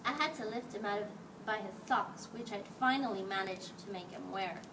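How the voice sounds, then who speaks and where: A woman's voice: medium pitch, normal speed. A woman reading a picture book aloud to children as a teacher, expressively and acting out the dialogue. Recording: distant mic, clearly noisy background.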